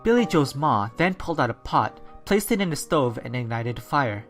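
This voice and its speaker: A radio narrator and newscaster reads in a steady, scripted manner at a middling pitch, like a school recreation of a 1930s broadcast. Soft music sits faintly behind the voice. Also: normal speed. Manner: steady, read-from-script delivery. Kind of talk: read-aloud 1930s-style radio narration and news.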